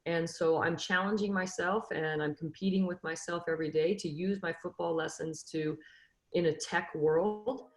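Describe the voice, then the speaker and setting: A woman in her fifties speaks earnestly on a video call, her voice sitting a little low and full of motivational conviction. She restarts her phrases and leans on fillers as she thinks aloud. The sound carries a roomy echo from her home. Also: steady tone, normal speed. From Northern California. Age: 50s. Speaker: football coach, webinar panelist. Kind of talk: casual panel discussion.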